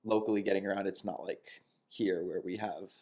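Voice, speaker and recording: A man's voice: deep voice, fairly quick. A man in his twenties chatting casually and thoughtfully on a podcast about moving to a new city. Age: 20s. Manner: relaxed, reflective, with some restarts and laughs. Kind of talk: casual chat.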